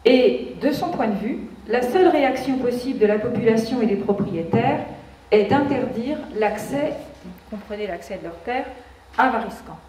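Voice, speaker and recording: A woman's voice: fairly high pitch, animated, moderate pace, darkish tone. A woman addressing a public meeting, earnest with ironic asides and frequent restarts. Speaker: association speaker at a public meeting. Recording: echoey hall, distant mic, faint background noise.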